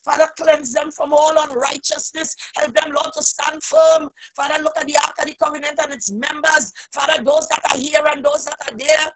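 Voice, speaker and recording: A woman leading a fervent, extemporaneous church prayer, pleading with repeated invocations and a raspy voice that swells into loud cries. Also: high-pitched, little pitch movement, moderate pace, few pauses, rough recording.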